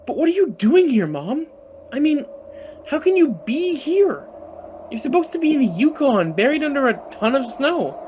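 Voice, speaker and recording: Man playing an entranced, yearning son, animated pitch. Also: moderate pace, a little hiss or hum. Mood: amazed, eager. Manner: hesitant, dreamy, questioning. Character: dazed, yearning son entranced by his mother's apparition. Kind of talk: naturalistic radio play acting.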